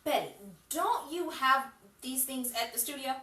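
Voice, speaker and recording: A woman's voice: high-pitched, unhurried, pushed and bright. A woman acting a sceptical, dry-witted colleague in a 1950s-style radio comedy. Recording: echoey room, distant mic, clear noise.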